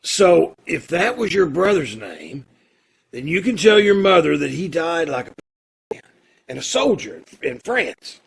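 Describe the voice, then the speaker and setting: A man acting a kindly, earnest condemned prisoner in an old-time radio play, with hesitant, folksy phrasing and a gravelly, husky voice. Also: fairly high pitch, sing-song, full character voice. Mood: earnest, insistent. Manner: hesitant, halting, folksy and informal.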